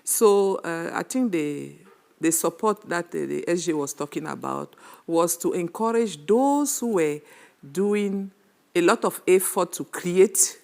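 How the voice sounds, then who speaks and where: Female official, slow and gravelly, halting delivery. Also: fairly low pitch, lively intonation, a little mellow. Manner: halting, many fillers. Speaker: former regional arts delegate. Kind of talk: panel talk show answer.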